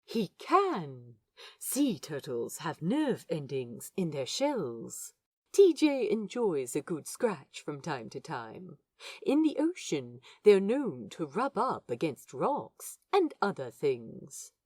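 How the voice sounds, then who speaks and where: Gentle scholarly animal lover woman as a man, tender and reverent, slow delivery, dry close sound, for fan audio drama. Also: low voice, wildly swooping pitch, husky, dry recording, exaggerated voice. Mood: warmly instructive. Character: gentle, learned man fond of sea animals.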